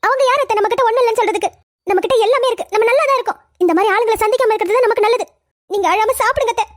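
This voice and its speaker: A woman's voice: extremely high pitch, racing. A woman playing a devoted young daughter-in-law, speaking earnestly and pleadingly in a melodramatic drama serial. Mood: earnest, reassuring.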